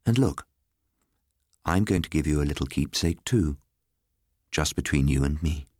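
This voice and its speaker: A man voicing a grave, tender young lady with a soft, hesitant way of speaking, in a storybook reading. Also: extremely low pitch, expressive, moderate pace, breathy edge, dry recording, clean studio sound, heavily acted. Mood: gentle.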